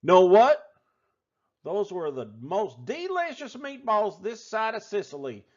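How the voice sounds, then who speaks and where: A man voicing a folksy, excitable restaurant owner telling a tall tale in a theatrical drawl for a children's storybook. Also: sits high, takes it slowly, lo-fi sound, exaggerated voice. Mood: folksy, excited. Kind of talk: animated children's storybook read-aloud.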